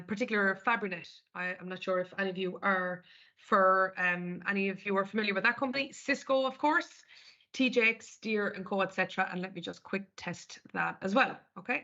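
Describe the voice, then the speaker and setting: Irish woman doing a stock webinar, bright voice, kinda muffled lo-fi call audio. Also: mid-range voice, vocal fry. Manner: conversational, walks through screen step by step. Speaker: webinar presenter. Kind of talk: live market analysis presentation.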